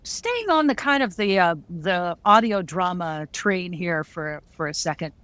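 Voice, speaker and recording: A woman's voice: fairly low pitch, lively intonation, unhurried, a bit bright, no room sound. Woman host, dry close sound. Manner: conversational, rambling questions. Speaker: interviewer host. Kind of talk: casual interview questions.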